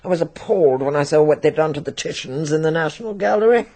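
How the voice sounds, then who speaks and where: Brisk, knowing older English spinster, husky, dry and commanding, radio play. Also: female voice, low voice, lively intonation, warm tone. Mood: dry, disapproving. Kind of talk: radio drama acting.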